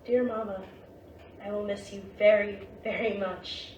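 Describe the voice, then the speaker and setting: A woman playing a devoted young woman from the 1920s, hopeful as she delivers a letter monologue on stage. Her mid-pitched voice sounds distant in a roomy space with faint noise. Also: fairly even intonation, unhurried, darkish tone, natural voice. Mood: tender, hopeful.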